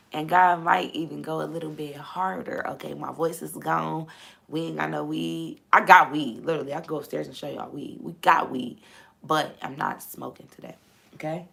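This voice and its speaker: Hoarse woman with a gravelly low voice. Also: fairly bright tone. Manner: repetitive, emphatic, preachy. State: voice gone, hoarse. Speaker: host. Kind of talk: casual faith talk livestream.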